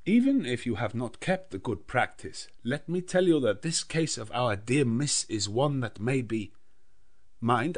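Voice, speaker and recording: A learned old Dutch professor in a gothic audiobook, acted by a man. He is grave and commanding yet kindly. His speech is emphatic and foreign in its phrasing, pitched up from the narration.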